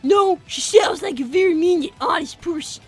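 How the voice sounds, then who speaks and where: A woman's voice: extremely high pitch, normal speed, soft background music. A woman voicing a blunt, bossy five-year-old fox brother, needling in improvised cartoon-style banter.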